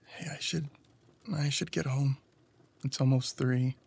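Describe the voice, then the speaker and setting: An actor playing a weary, earnest man in an audio drama, speaking in a halting, pensive, hushed way. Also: medium pitch, steady tone, vocal fry, slight background noise. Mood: tender, quiet. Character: Raphael, weary, earnest, haunted man, a first responder type. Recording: music under the voice. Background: music.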